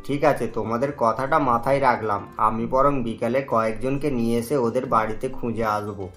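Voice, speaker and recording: Ashutosh, a woman voicing a deep-voiced old village headman. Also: fairly even intonation, soft background music. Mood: stern. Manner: measured, authoritative. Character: stern elderly male village headman.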